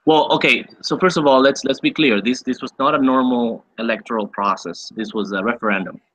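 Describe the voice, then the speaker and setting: A man's voice: medium pitch, fairly even intonation, a little fast, a bit bright, rough recording. A man in his twenties from Venezuela, a guest on an interview podcast, explaining at length with frequent fillers and repeated words. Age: 20s. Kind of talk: casual interview.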